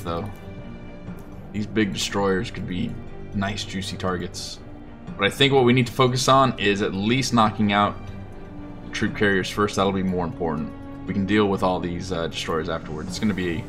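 A man giving casual, unhurried gameplay commentary, thinking aloud as he plans. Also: lowish voice, music in the background. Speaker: gaming video host.

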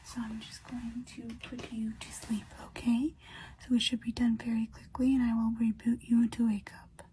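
A woman's voice: on the high side, little pitch movement, normal speed, warm tone, a little hiss or hum. A woman in her twenties doing a soothing, reassuring ASMR role-play as a repair technician, murmuring in short fragments, close up in a bedroom. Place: bedroom, indoors. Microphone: close to the camera, leaning in.

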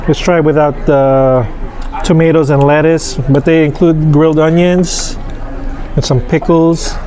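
A man in his thirties reviewing restaurant food in a casual, halting way, full of fillers, on an outdoor patio with a camera on the table. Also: a little high, slight background noise. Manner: slow, relaxed, halting with many fillers. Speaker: food vlogger. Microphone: camera on table across from him.

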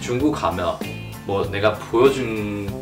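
A man's voice: fairly low pitch, slow pace, reverberant, distant mic, music in the background. A young man from China, a native Mandarin speaker, chatting casually on a sofa as he shows his girlfriend videos. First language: Mandarin Chinese. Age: young adult. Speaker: vlogger showing videos to girlfriend. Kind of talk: casual chat. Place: living room, on a sofa.

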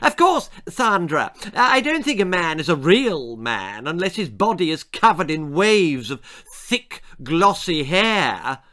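Man putting on a shrill, bratty little girl. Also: big pitch swings, slow pace, few pauses, exaggerated voice. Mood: haughty, gushing. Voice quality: shrill, squealing. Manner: haughty, loud, affected grown-up talk. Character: bratty, self-obsessed eleven-year-old girl with airs.

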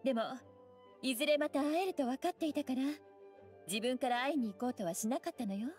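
A woman voicing a graceful, teasing young scholar mage in an anime game, with elegant, playful, unhurried phrasing. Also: sits high, darkish tone, close to an everyday voice. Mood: playful, pleased. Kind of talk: Japanese anime game voice acting. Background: music.

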